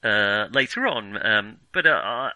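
Very bright, crisp-edged man thinking aloud. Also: fairly low pitch, expressive, a little slow, dry recording. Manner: hesitant, thinking aloud, opinionated. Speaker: film podcast co-host. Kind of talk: casual film discussion.

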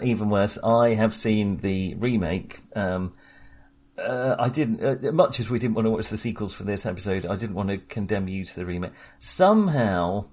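A man co-hosting a podcast, chatting about a film in a wry, animated way. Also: on the low side. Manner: chatty, wry, animated when making a point. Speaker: podcast co-host. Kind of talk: casual film discussion.

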